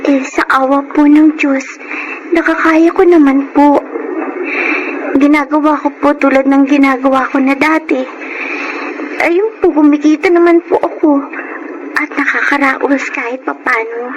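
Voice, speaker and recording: A young orphan girl in a Filipino radio drama, with a very high, earnest voice and a Filipino accent. She sounds humble, polite and full of feeling. The recording has clear background noise. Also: fairly even intonation, a little slow, long pauses, muffled recording. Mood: humble, resolute. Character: orphaned young girl craft vendor, humble, tearful, hopeful.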